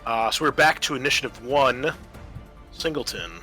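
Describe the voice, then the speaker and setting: A male game master with a bright, forward voice talks players through a tabletop roleplaying game. His delivery is conversational, with music playing behind him. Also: medium pitch, normal speed.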